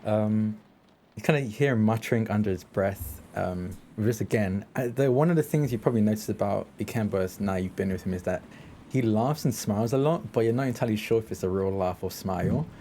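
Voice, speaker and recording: A man plays a tabletop game, casually describing what his character does in halting phrases. His voice is lowish and mellow, and there is audible noise behind it.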